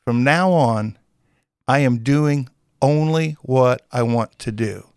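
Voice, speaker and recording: A man's voice: medium pitch, slow pace, clear, resonant tone, no room sound, studio quality. A man, hosting a casual local radio interview in a folksy, teasing way. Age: 60s. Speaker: radio show host. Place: small radio studio.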